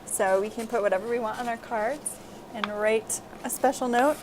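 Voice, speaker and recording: A woman's voice: a little high, normal speed. A woman hosting a children's story time, chatting casually and giving encouraging craft instructions. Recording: clearly noisy amateur recording.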